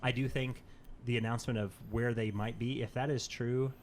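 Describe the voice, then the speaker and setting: A man's voice: medium pitch, fairly even intonation, normal speed. Casual man chatting over background noise. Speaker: podcast co-host. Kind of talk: casual banter about gaming news.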